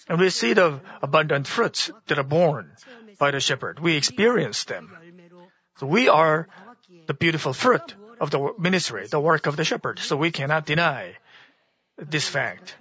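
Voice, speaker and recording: Hoarse man giving a halting sermon. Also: a little high, bright, forceful tone, lo-fi sound. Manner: halting, with frequent restarts. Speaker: church speaker. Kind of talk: sermon-style teaching.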